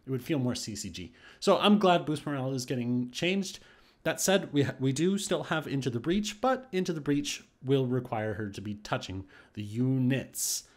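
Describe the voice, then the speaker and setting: A man's voice: medium pitch, animated, moderate pace. A man giving casual, thinking-aloud commentary on game patch notes, with restarts and hesitations. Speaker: gaming YouTuber. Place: voice-over on screen capture.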